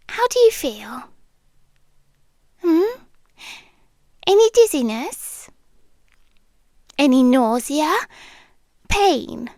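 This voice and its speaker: Woman doing a british yandere gf for asmr, super slow n hushed, whispery, possessive, dry close mic. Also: high-pitched, dry recording, exaggerated voice. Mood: mock concern. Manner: slow, deliberate, hushed, often slipping into whispers. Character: obsessive yandere girlfriend captor, softly menacing, possessive. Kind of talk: ASMR roleplay. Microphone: close microphone, dry.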